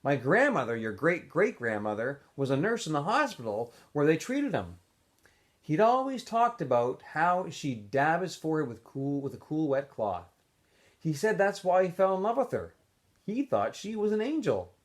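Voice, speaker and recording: A man's voice: fairly high pitch, sing-song, moderate pace. A man voicing a patient father from a storybook, telling family history in a plain, matter-of-fact way.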